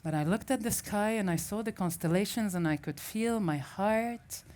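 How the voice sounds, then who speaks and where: A woman's voice: mid-range voice, normal speed, a little mellow. A woman from Syria, a native Arabic speaker, giving a reflective personal story as a festival speaker and often restarting as she searches for words.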